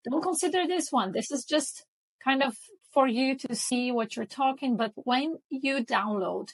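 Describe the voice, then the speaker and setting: A woman with a fairly high voice, a Portuguese native speaker, walks through a design tutorial step by step. She keeps it chatty and informal and keeps checking in with "okay?". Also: rough recording. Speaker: tutorial host. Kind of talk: screen-recording software tutorial.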